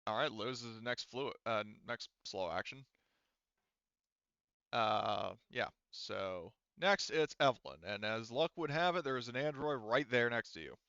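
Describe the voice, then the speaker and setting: Bright, clear-voiced man running a game. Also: mid-range voice, unhurried, no room sound, lo-fi sound. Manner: explanatory, thinking aloud with self-corrections. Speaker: game master. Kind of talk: casual tabletop game narration and rules talk.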